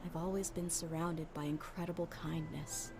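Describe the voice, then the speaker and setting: An actress voicing a grown female desert mercenary in a video game, calm and reflective as she speaks from the heart. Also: deep voice, moderate pace. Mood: grateful. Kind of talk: game voice acting. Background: game music.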